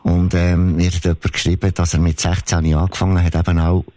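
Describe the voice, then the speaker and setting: A man's voice: low voice, little pitch movement, fairly quick, mellow, dry recording. A man with a Swiss German accent hosting a conversational radio interview, responding with enthusiasm.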